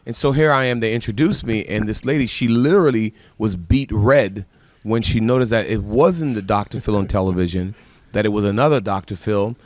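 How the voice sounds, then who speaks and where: A man's voice: lowish voice, brisk, dry recording. Earnest storytelling man on a dry mic. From Bahamas. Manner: earnest storytelling with emphatic repetition. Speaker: pastor and guest speaker. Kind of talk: TV panel discussion.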